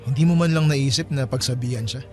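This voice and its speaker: Young man, acted guarded younger brother, medium pitch, earnest, questioning, radio drama, faint music. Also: fast talker, crowd noise, lightly acted. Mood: guarded, hurt. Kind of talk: Filipino radio-style drama dialogue.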